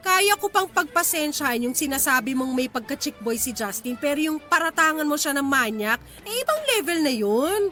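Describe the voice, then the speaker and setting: A woman's voice: high voice, animated, a little fast, few pauses, sharp tone, soft background music. A woman acting a feisty, indignant, lovestruck young woman in an audio drama. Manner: indignant, combative. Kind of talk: acted Tagalog audio drama dialogue.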